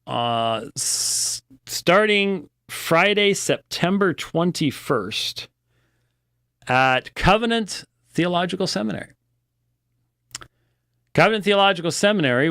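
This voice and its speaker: Slow, deliberate male commentator. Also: on the high side, a bit bright, clear, resonant tone, no echo, clean studio sound. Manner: halting, deliberate, with pauses. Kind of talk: unscripted religious news commentary.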